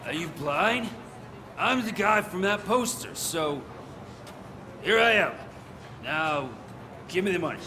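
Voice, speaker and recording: An actor playing a brazen, dim wanted criminal in a video game, pushy and indignant in manner. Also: male voice, high-pitched, expressive, takes it slowly, pauses often, background noise, heavily acted.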